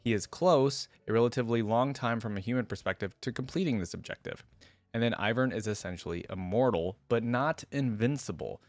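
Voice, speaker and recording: A man's voice: medium pitch, brisk, no room sound. A man hosting a reaction video, commenting casually and musing aloud in an informal, conversational way. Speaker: host of a reaction channel.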